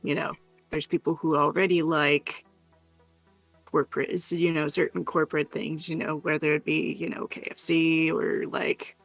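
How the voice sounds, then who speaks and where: A man's voice: fairly high pitch, flat delivery, moderate pace, vocal fry, fairly bright tone. A man chatting casually as a co-streamer on a livestream. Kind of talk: casual chat. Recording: dry close sound, music behind. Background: music.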